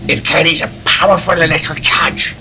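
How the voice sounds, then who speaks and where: A man's voice: a little high, steady tone, normal speed, sharp tone, a little hiss or hum, poor audio. A man playing a fussy, cautious scientist in an old-time radio serial read aloud. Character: fussy, cautious male scientist guarding his laboratory. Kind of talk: 1940s radio serial acting, live table read.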